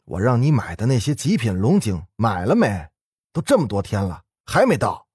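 A man's voice: on the low side, sing-song, moderate pace, darkish tone, husky, no room sound, clean studio sound, heavily acted. A man voicing an impatient, imperious master of a wealthy household in an audio ghost story, curt and scolding.

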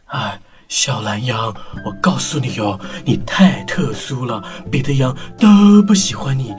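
Lady doing a patronizing farmer guy for a kids' story, deep coaxing drawl, music behind. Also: expressive, a little slow. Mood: falsely kind.

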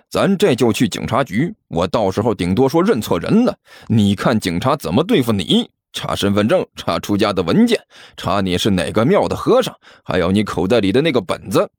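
A man voicing a brazen, stubborn, quick-witted hustler in an audiobook, arguing with sarcasm and sneers. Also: mid-range voice, big pitch swings, dry recording, studio quality. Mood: smug, threatening.

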